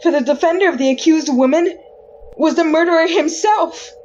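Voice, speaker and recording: A woman playing a wrongly condemned, desperate soul in an old-time radio horror drama, with a halting, pleading delivery. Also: very high voice, darkish tone, highs cut off, a little hiss or hum. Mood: shocked, bitter.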